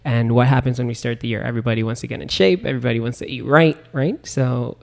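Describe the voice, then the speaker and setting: A man's voice: mid-range voice, fast, vocal fry, darkish tone. A man hosting a podcast, asking friendly, rambling questions. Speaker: podcast host. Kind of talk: casual interview talk.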